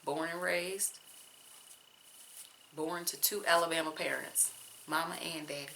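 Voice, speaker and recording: A young woman from Georgia speaks with a Southern accent, her voice low-pitched but bright and forward. She chats candidly and casually to her viewers as she works through her hair at home. A faint ambient hiss sits under the camera-mic sound. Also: fairly even intonation, a little slow, vocal fry, rough recording. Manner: candid, chatty, talks straight to viewers with casual slang. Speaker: teacher and vlogger. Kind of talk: casual chatty vlog while detangling hair.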